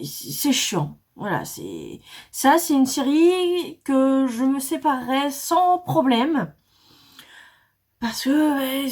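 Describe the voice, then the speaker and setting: A woman's voice: a little high, darkish tone. A woman reviewing her manga in a casual, hesitant way, with many fillers and restarts. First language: not English. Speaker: manga vlogger. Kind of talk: casual book review chat.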